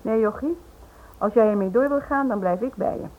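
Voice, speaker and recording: A woman's voice: on the high side, brisk, close to an everyday voice. Sardonic loyal wife played by a woman, dull recording. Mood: devoted, firm. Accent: Dutch. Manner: dry, sarcastic. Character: loyal, sardonic wife, worried yet stubborn. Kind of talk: radio play acting. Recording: dull old radio play recording.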